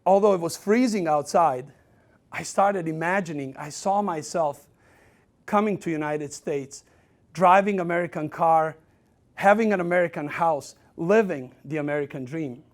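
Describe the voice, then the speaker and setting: A man's voice: on the high side, moderate pace. A man in his forties from Serbia with a Serbian accent, telling his life story as a motivational speaker, with self-deprecating humor. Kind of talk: motivational keynote speech.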